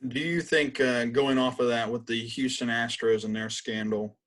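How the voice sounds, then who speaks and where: A man's voice: mid-range voice, fairly even intonation, normal speed, fairly bright tone. Guy podcast co-host, regular mid voice, chatty interview questions. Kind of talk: conversational interviewing.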